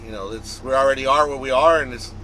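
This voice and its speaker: A man's voice: medium pitch, fast talker, pushed and bright, slight background noise, rough recording. A man from the United States, a trucker vlogger, talking casually to his viewers in a rambling, conversational way that circles back and repeats. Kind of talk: casual vlog talk to viewers.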